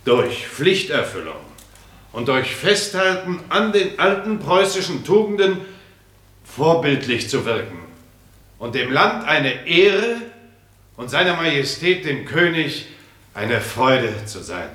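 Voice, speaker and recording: An actor playing an earnest, pompous small-town mayor giving a declamatory speech in a radio play. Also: male voice, fairly high pitch, big pitch swings, slow, fairly bright tone, full character voice. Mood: solemn, patriotic. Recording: echoey, roomy speech acoustic.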